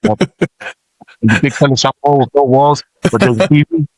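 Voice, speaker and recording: A man in his forties who grew up in Samoa, a rapper guest telling stories in relaxed, casual talk with many fillers. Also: medium pitch, normal speed, no room sound.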